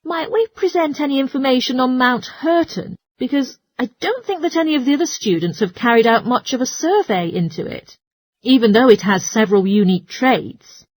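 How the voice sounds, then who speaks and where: A woman's voice: high voice, lively intonation, brisk, no room sound. A woman playing a helpful, studious geography student in a scripted listening-test dialogue. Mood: studious, explaining.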